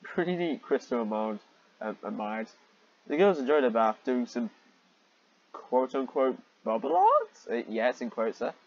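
A man's voice: medium pitch, wildly swooping pitch, unhurried, vocal fry, muffled recording. A man reading fan fiction aloud and commenting on it in a sarcastic, exasperated, sweary manner.

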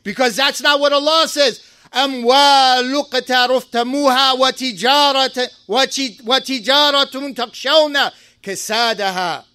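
Male imam, very high bright voice, fervent sermon delivery with shouted peaks, dry close sound. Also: fairly even intonation, very fast, dry recording. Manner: impassioned preaching, rising to shouts, quotes Arabic verses. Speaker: imam giving a Friday sermon. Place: mosque.

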